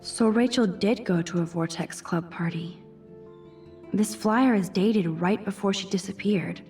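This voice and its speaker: A young American woman playing an introspective, gentle teenage girl student in a video game, musing softly with wry asides. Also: mid-range voice, measured pace, close to an everyday voice. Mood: reflective. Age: young adult. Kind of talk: video game voice acting, inner monologue. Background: background music.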